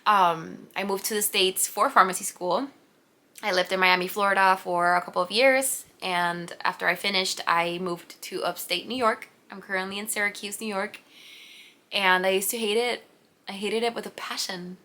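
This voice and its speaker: A woman's voice: medium pitch, fairly even intonation, normal speed, sharp tone. A woman in her late twenties from Puerto Rico, a native Spanish speaker, chatting to camera at home in a rambling, giggly way. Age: 20s. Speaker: vlogger. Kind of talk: casual unscripted talk to camera. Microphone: camera mic.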